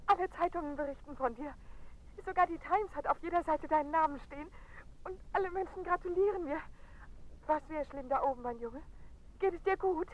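Actress as a high-voiced doting mother. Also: a little fast, highs cut off, close to an everyday voice. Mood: overjoyed, tender. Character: doting, anxious, happy mother of a grown son. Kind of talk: 1970s German radio play acting.

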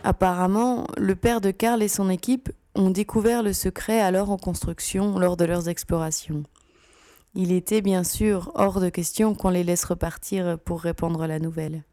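A woman playing a composed, serious security officer with a deliberate, sober manner in a science fiction audio drama. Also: fairly low pitch, fairly even intonation, warm tone, dry recording, natural voice. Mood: grave.

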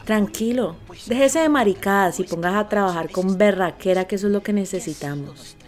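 A woman's voice: medium pitch, brisk, darkish tone, lightly acted. A woman with a Colombian accent playing brusque, combative characters theatrically in a radio play. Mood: bossy. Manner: emphatic, theatrical. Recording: music running under the voice.

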